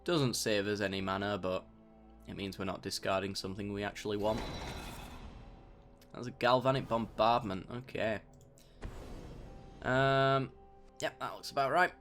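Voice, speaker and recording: A young man with a slightly croaky voice from a sore throat, casually commentating a digital card game while thinking aloud. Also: lowish voice, steady tone, normal speed, long pauses, a bit bright, soft background music. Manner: thinks aloud, mild self-talk, bursts of mock outrage. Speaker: gameplay commentator. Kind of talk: casual let's-play commentary.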